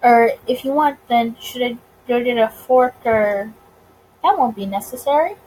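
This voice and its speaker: A woman's voice: a little high, measured pace, a little mellow, lightly acted. Woman as a flustered male detective, noisy recording. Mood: casual. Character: flustered, eager male police detective in 1920s America. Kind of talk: visual novel character voice.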